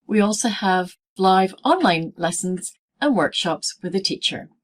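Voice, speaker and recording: A woman presenting a scripted pronunciation lesson as a teacher, speaking in deliberate, clearly segmented phrases. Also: medium pitch, lively intonation. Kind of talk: scripted instructional narration in easy English.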